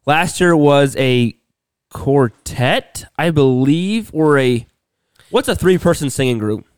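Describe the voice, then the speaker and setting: A man talks through a meandering story on a podcast, pausing and restarting often. He's sick, and his throat is worn. His voice stays smooth and resonant, recorded close and dry. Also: a little high, lively intonation, dry recording. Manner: rambling storyteller, many restarts and fillers. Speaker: podcast co-host. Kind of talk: casual chat.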